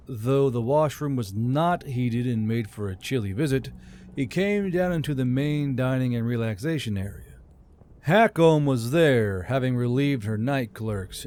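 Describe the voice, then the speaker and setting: A man reading scripted fantasy fiction as an audiobook narrator. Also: mid-range voice. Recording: soft music bed under narration.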